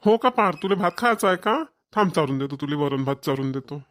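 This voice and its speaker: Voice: medium pitch, expressive, fairly quick, fairly bright tone, close to an everyday voice. A performer voicing a fond village grandfather in a family cartoon, talkative and homely, with a Varhadi Marathi accent. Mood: fond, content. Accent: Varhadi Marathi, Vidarbha.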